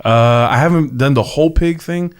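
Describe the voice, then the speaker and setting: A man's voice: on the high side, normal speed, clear, resonant tone. A man co-hosting a radio show, chatting casually and listing things with enthusiasm. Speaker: co-host.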